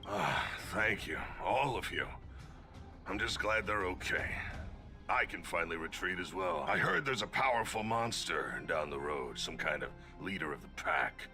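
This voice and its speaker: A man's voice: deep voice, moderate pace, sharp tone, faint music behind. A man voicing an earnest, weary young man searching for lost children in a video game. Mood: relieved.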